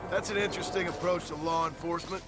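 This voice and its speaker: An actor playing a terse, stubborn gunslinger in a Western video game, speaking with a blunt, dry American Western drawl. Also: male voice, fairly high pitch, steady tone, quick pace, heavy background noise, natural voice. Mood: dry, skeptical. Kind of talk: video game dramatic acting.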